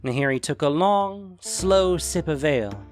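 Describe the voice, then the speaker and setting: A man's voice: on the high side, lively intonation, a little slow, faint music behind. A man narrating a scripted fantasy story as its storyteller.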